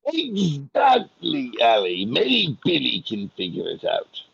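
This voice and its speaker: A man playing a pompous, food-loving fantasy game character, with a gravelly voice and a deliberate manner. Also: medium pitch, huge pitch swings, a bit bright, no room sound, dull audio, exaggerated voice. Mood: self-important.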